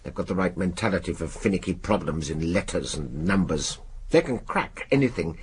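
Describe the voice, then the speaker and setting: Gruff English spy chief, low, swinging pitch. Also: male voice, lively intonation, normal speed, a little hiss or hum. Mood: brisk, confidential. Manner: brusque, clipped, authoritative. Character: gruff, commanding older male spy chief. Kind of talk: radio drama acting.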